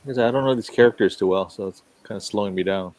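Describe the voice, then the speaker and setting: A man's voice: on the low side, racing, a little mellow. A man chatting casually as a regular on a drawing show. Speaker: drink-and-draw show regular. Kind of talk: casual chat.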